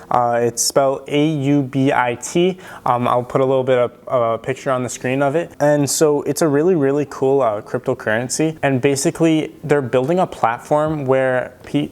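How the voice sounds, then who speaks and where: A young man with a slightly raspy, worn voice from a recent throat infection, speaking at an ordinary middle pitch. He talks casually and eagerly through numbers, leaning on ums and likes as he explains an investment idea to his viewers. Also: darkish tone. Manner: chatty, filler-heavy, enthusiastic explaining. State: raspy from tonsillitis. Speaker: stock investing YouTuber. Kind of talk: casual stock analysis talk to camera.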